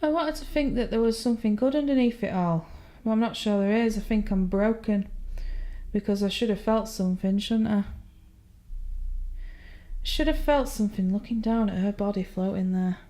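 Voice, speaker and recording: Actress as a chillingly candid jailed murderess. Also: female voice, medium pitch, normal speed, dark tone, lightly acted. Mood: numb, haunted. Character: jailed woman murderer, chillingly candid, numb, unrepentant mother. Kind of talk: audio horror drama, intimate confession.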